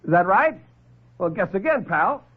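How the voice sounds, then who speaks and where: Man acting a defiant, high-strung tough-guy lieutenant. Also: very animated, moderate pace, breathy edge, exaggerated voice. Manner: blunt, slangy, challenging. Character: defiant, tough-talking male lieutenant, hot-headed and wary. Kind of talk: classic radio thriller acting. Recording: muffled old radio broadcast recording.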